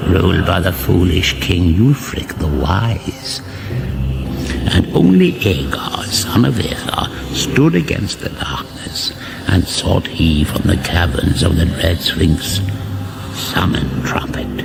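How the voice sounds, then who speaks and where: An actor playing a solemn chronicler, narrating a mock-epic radio fantasy in a grave, portentous manner. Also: male voice, deep voice, very animated, slow, vocal fry, exaggerated voice. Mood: ominous, solemn. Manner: grave, portentous, sometimes near whispering.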